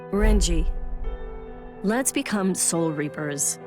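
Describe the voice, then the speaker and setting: A woman's voice: on the low side, animated, slow. An actress playing a plucky, direct young female warrior in an anime game dub. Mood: plain, earnest. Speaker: video game voice actor. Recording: music behind the voice.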